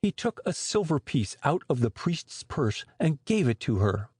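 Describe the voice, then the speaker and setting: A man narrates a storybook in a husky, slightly high voice. His delivery is expressive with lively pitch movement, in the manner of a scripted audiobook. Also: a little slow, no echo, studio quality.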